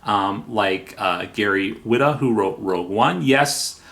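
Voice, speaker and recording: Low-voiced man giving a casual review. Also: unhurried, creaky, fairly bright tone. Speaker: software reviewer and video host. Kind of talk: casual review narration with playful asides.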